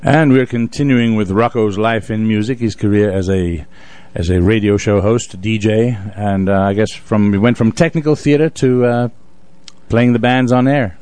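A man's voice: lowish voice, normal speed, darkish tone, no room sound. A man co-hosting a casual radio chat, supportive and a little hesitant as he prompts and responds. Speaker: radio co-host. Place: radio studio.

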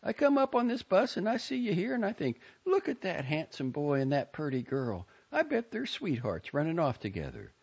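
A man's voice: a little high, huge pitch swings, moderate pace, vocal fry, hardly any pauses, husky, dull audio, pitched above the performer's own voice, full character voice. A man voicing a chatty, nosy, pious older Southern woman in an audiobook story, gushing and self-satisfied in a folksy rambling way. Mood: gushing, prying. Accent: American South.

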